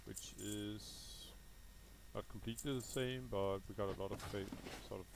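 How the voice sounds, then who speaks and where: Low-voiced Danish man commenting on gameplay. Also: fairly even intonation, moderate pace, creaky, noisy background. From Denmark. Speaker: gaming video host. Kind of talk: casual unscripted gameplay commentary.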